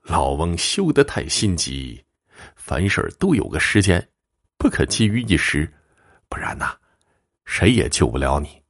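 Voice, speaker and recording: A man's voice: low-pitched, big pitch swings, a little slow, fairly bright tone, no echo, clean studio sound, full character voice. A man voicing a greedy, sly fortune-teller in a storybook tale, cajoling and self-important.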